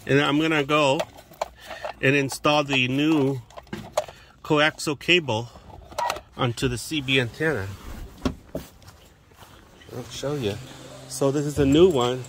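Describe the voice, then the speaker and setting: A man giving a casual hands-on how-to, talking plainly in short, halting steps as he works. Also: medium pitch, fairly even intonation, noisy background. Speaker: DIY radio hobbyist demonstrator. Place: in and beside a parked vehicle outdoors. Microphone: handheld phone, one-handed filming.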